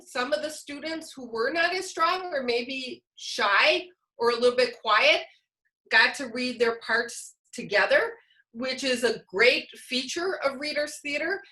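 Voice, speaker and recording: A woman's voice: high voice, bright, forceful tone, rough recording. A woman presenting informally to teachers as a trainer over a video call, explaining things and posing questions that she then answers. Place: online video meeting. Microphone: video call microphone.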